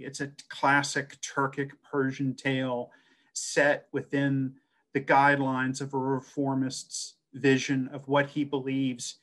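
Earnest middle-aged man on a video call. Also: mid-range voice. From American West. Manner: earnest, storytelling, with frequent uh fillers and restarts. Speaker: translator and scholar giving a talk. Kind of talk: personal presentation on a video call. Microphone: webcam video call.

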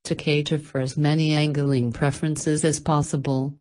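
A woman's voice: deep voice, flat delivery, normal speed, dark tone. A woman reading a scripted promotional narration.

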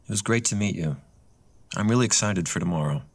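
A man's voice: on the low side, quick pace, natural voice. A man playing a polite, friendly neighbor in an audio drama. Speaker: actor in a horror audio drama.

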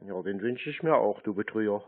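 A man with a deadpan, repetitive manner, chatting as if slow to understand while answering calls. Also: fairly low pitch. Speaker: scam baiter answering calls. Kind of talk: deadpan phone prank chat. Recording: narrow-band phone-like sound.

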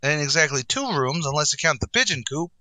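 A sardonic tavern waiter. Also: male voice, a little high, animated, fast talker, sharp tone, dry recording. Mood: sarcastic. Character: dry-witted male tavern waiter, sardonic. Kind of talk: 1940s radio comedy acting.

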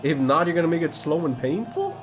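A man's voice: a little high, lively intonation, brisk, music in the background. A young man reacting live to an anime episode in his bedroom, exclaiming, swearing and laughing as he watches. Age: young adult. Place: bedroom with posters, indoors.

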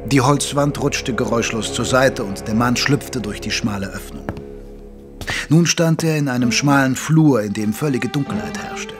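An actor as the narrator of a German audio drama, telling the story in a measured, literary way. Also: male voice, mid-range voice, natural voice. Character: detective's companion narrating the tale. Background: music.

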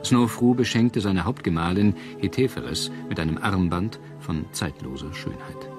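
Deep-voiced male documentary narrator. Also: normal speed. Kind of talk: scripted history documentary narration. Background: prominent music.

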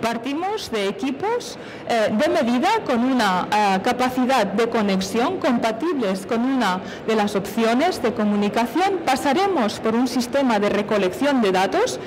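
A middle-aged woman speaks on stage as a conference presenter, with a fairly high voice. She explains technical points methodically, framing them with questions. There is some faint background noise from the event space. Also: big pitch swings, normal speed, rough recording. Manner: explanatory, with self-posed questions. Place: on stage at a conference, filmed from a distance.

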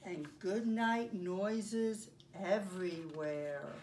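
An older woman presenting story time for young children, speaking in simple, deliberate phrases with pauses as she reads and demonstrates. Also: on the low side, darkish tone. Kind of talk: read-aloud and craft demonstration for young children. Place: carpeted home living room. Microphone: camera a few feet away. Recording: distant mic, faint room noise.

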